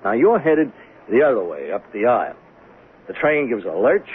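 Through a faintly hissy, narrow old radio broadcast comes a man acting a weary investigator. He is suspicious and folksy, reasoning aloud in a needling, animated way. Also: mid-range voice, normal speed, lightly acted. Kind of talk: 1970s radio drama acting. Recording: thin, faintly noisy 1970s radio broadcast.

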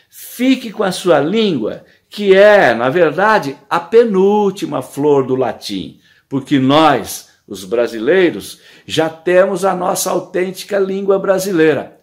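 Man, Brazilian accent, middle-aged, opinion column read aloud, emphatic, fairly high voice, phone camera. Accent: Brazilian Portuguese. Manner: lively, emphatic reading with rhetorical questions. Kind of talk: reading a written opinion column.

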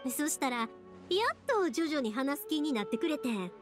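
Bubbly young game girl, kansai accent, high bouncy voice, friendly n chatty. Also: lively intonation, moderate pace, over music. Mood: chatty, thoughtful. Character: cheerful, chatty young woman fireworks maker. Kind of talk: animated video game voice acting.

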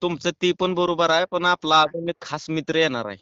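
A man with a rural western Maharashtra Marathi accent, chatting casually on a phone call in a hesitant, halting way. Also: a little high, bright, forceful tone, smooth, dry recording. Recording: muffled phone call recording.

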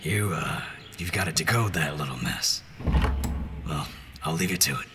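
An actor playing a casual, curious sidekick in a fantasy audio drama, offhand and colloquial. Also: male voice, low voice, normal speed, long reverb tail, background noise, close to an everyday voice.

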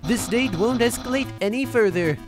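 A man's voice: very high voice, animated, normal speed. A man voicing a vain, exuberant skeleton who fancies himself a romantic hero, pompous and theatrical, in a cartoonish game dub. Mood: theatrical bragging. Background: music.

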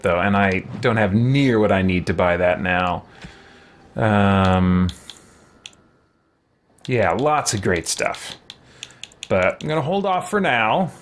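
A middle-aged man giving casual let's play commentary as a gaming streamer, indoors. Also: lowish voice. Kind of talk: casual let's play commentary, reading dialogue choices aloud. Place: small indoor room with shelves.

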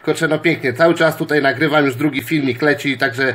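Guy chatting on cb radio, voice bit high. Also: fairly quick, a bit bright. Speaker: amateur CB radio operator. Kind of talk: casual radio contact chat.

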